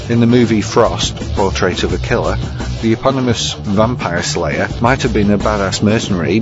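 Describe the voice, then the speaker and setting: A man presenting a scripted review segment as a narrator. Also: on the low side. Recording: dull lo-fi recording under music. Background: guitar music.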